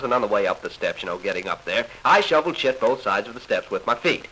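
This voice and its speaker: Male American comic monologist, 1940s party record. Bright, forceful delivery, lively pitch, folksy and crude. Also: slight background noise, low-quality recording. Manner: folksy, crude, conversational storytelling. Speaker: comedian telling bawdy stories. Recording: old 1940s 78 rpm record.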